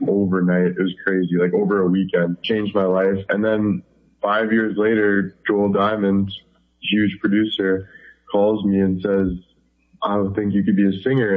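A young man from Canada, chatting casually as a guest and rambling with lots of fillers. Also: sits low. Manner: loose and rambling, many fillers like "like". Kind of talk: casual interview chat. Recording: tinny phone line.

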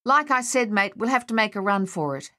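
A woman voicing a young male soldier in an audiobook, gruff and imploring as he tries to steady his comrade. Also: medium pitch, a little fast, voice pushed up. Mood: urgent. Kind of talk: audiobook character voice.

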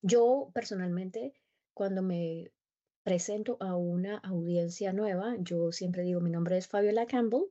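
A woman whose first language is Spanish tells stories with lively emphasis in a relaxed conversation. Her voice is mellow and warm rather than bright. The recording is slightly dull and lo-fi. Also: medium pitch, a little slow. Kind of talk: conversational interview.

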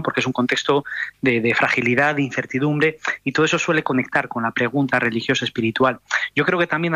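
A man, a sociologist guest on a radio talk show, explaining with thoughtful pauses and restarts. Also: medium pitch, pushed and bright, no echo. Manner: thoughtful, hesitant with fillers and restarts. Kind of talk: radio interview commentary.

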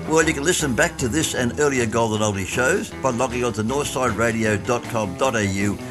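A man hosting a community radio oldies show, a little croaky from a chesty cough, chatty and rambling. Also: mid-range voice, fairly quick, music in the background. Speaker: radio host. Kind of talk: casual radio chat.

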